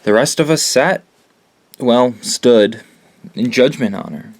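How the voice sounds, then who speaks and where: A man's voice: mid-range voice, takes it slowly, dry recording. An actor playing an earnest teenage boy who leads and narrates for a group of survivors in an audio drama. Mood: grave. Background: music behind the voice.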